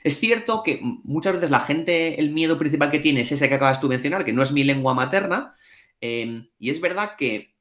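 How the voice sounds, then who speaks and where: A man's voice: on the high side, expressive, moderate pace, a bit bright. A man with a Spanish accent from Spain, a finance exam trainer, answering interview questions in a frank, colloquial way with mild swearing. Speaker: CFA trainer and academy director.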